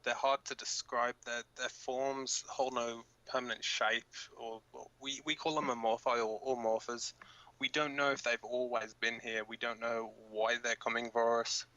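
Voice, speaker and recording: A man's voice: mid-range voice, flat delivery, moderate pace, bright, forceful tone, dull audio, close to an everyday voice. A man playing a blunt, cynical resistance fighter co-hosting an underground broadcast in a radio drama, speaking informally. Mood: blunt, defiant.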